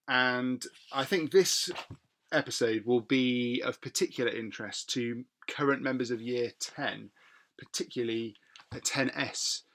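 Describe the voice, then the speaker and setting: A man with a British accent speaking as a teacher and podcast host, explaining things in a measured, somewhat hesitant way. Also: medium pitch, fairly bright tone.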